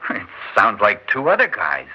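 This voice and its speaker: An actor playing a junior agent with offhand, wisecracking replies, in a 1960s radio drama. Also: male voice, lowish voice, huge pitch swings, moderate pace, slightly rough, heavily acted. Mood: casual. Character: loyal junior agent, quick and casual. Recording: hissy, muffled old radio broadcast.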